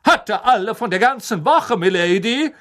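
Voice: medium pitch, lively intonation, moderate pace, a bit bright, voice pushed up, close to an everyday voice. A reader voicing a proud, boastful knight from a painting in a storybook, gallant and swaggering.

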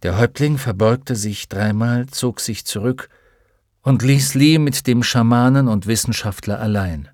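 A man reading an adventure audiobook aloud in a steady, even storytelling manner. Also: mid-range voice, a little slow, no room sound. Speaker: audiobook narrator. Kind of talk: scripted narration. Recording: dry close studio sound.

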